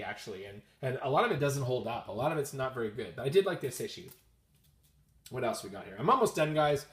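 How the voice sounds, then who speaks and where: A man's voice: mid-range voice, reverberant. A man hosting a casual, rambling comics vlog, chatting and thinking aloud as he addresses his viewers directly. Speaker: host of a comics video channel.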